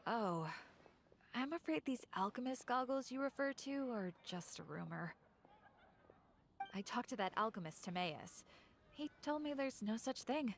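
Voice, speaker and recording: A woman's voice: a little high, expressive, unhurried. Wry female shopkeeper, background noise. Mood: polite. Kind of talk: English anime game dub acting.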